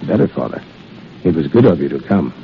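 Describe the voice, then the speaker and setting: An actor playing a wounded soldier in love, plainspoken, wry and intense, in an old radio drama. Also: male voice, a bass voice, very animated, brisk, vocal fry, exaggerated voice. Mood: tired, friendly. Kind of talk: 1930s-40s radio drama acting. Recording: tinny, hissy old radio broadcast.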